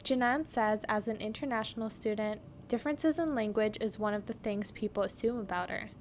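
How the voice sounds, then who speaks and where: A woman's voice: mid-range voice, fairly quick, a little hiss or hum. A young woman hosting a podcast, telling her story in a reflective, scripted narration. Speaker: podcast host.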